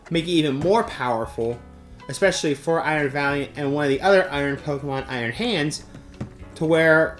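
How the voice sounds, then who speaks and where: Higher-voiced man presenting, music behind. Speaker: presenter of a game store's monthly news segment. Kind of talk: informal product showcase to camera.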